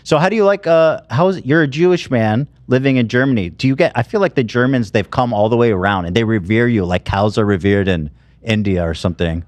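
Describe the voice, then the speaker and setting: A man's voice: mid-range voice, fairly quick, no echo. A man in his late thirties hosting a podcast, asking teasing, wry questions in a casual interview. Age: 30s. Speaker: podcast host.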